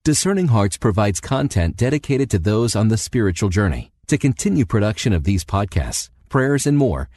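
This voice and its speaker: Lively low-voiced man reading an appeal. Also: normal speed, few pauses. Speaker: podcast announcer. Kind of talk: scripted donation appeal.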